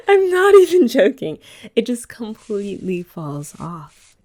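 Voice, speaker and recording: A woman's voice: on the high side, huge pitch swings, slow pace, a little mellow. A young woman hosting an ASMR girlfriend roleplay, chatty and giggly as she rambles. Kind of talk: soft-spoken ASMR roleplay chat.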